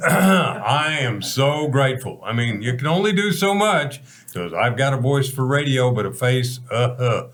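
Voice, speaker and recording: A man's voice: medium pitch, very animated, measured pace, a bit bright. A man in his seventies from Texas with an American accent, hosting a talk show in a folksy, emphatic, conversational way. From Texas, United States. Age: 70s.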